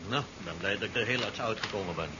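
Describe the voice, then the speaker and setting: An actor playing a measured, deferential ship's doctor in a 1970s science fiction radio play. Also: male voice, on the low side, natural voice. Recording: dull, noisy 1970s radio drama recording.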